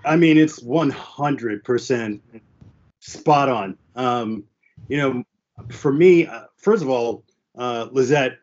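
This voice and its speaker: A man's voice: mid-range voice, slow pace, darkish tone. Guy whose first language was creole and french, talking earnestly, lots of uh and you know, kinda muffled lo-fi audio. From United States (New York and New Jersey). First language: French and Haitian Creole. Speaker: panel guest. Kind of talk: candid personal storytelling.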